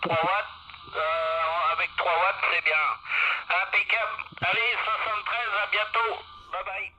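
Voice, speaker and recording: A man chatting casually as a radio operator, heard over a two-way radio, speaking in halting, hesitant phrases. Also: high voice, level tone, lo-fi sound. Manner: hesitant, halting phrases with frequent tag words. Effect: two-way radio transmission. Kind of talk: casual radio contact chat. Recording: sharp radio transmission with faint noise.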